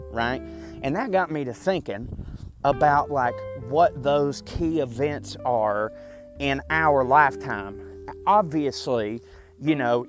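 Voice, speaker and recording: Southern man, 30s, mid-range voice, loose profane storyteller; light music underneath. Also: mid-range voice. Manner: chatty, profane, self-deprecating, frequent fillers. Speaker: podcast host. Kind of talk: casual rambling monologue.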